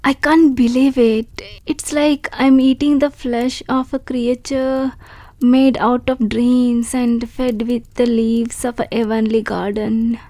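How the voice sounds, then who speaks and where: A woman voicing a shy, grateful young female wolf creature in an audio roleplay, speaking softly and politely and stammering when unsure. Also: a little high, level tone, slow pace, dry recording. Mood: awestruck. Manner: soft, polite and hesitant, with stammers.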